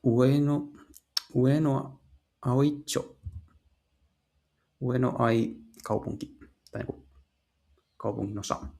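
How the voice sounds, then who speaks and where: A man translating game dialogue casually and haltingly, searching for words as he goes. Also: mid-range voice, fairly even intonation, gravelly, dark tone. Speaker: gaming video host and live translator.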